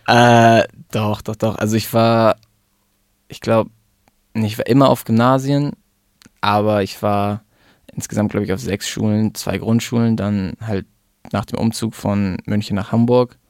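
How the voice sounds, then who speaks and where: A man in his twenties from Germany, a rapper guest talking casually about his life, relaxed and rambling with fillers. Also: fairly low pitch, measured pace, dry recording, studio quality. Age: 20s. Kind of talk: casual interview chat.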